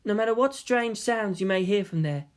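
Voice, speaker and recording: A woman voicing a quiet, eerily detached teenage girl who speaks with stiff, formal politeness in a story reading. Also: mid-range voice, normal speed, voice pushed up, natural voice.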